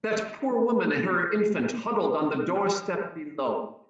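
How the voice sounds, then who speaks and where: A man playing a tormented ghost in a dramatic reading, giving ominous warnings in a slow, theatrical wail. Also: high voice, lively intonation, dull audio. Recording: echoing, distant voice with music.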